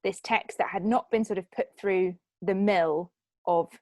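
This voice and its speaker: A woman's voice: mid-range voice, steady tone, measured pace, dry recording, studio quality. A woman hosting a panel Q&A in an informal, easygoing and conversational way. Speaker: Q&A host and moderator. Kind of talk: casual panel moderating.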